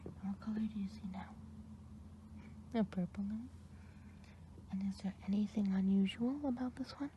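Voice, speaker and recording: A woman voicing a kindly, chatty good witch of the woods, whispering softly and rambling in an ASMR roleplay. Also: mid-range voice, fairly even intonation, measured pace, long pauses, lo-fi sound, slight background noise, natural voice. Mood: gentle whisper. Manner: soft whispering, rambling gossip. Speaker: ASMR performer. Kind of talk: ASMR roleplay eye exam.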